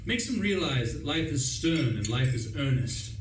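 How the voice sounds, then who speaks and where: A man acting a flustered, breezy young upper-class English gentleman who narrates a radio play in pompous, chatty old-fashioned slang. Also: mid-range voice, sing-song, normal speed, lightly acted. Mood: breezy, self-satisfied. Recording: roomy, distant stage recording with faint noise.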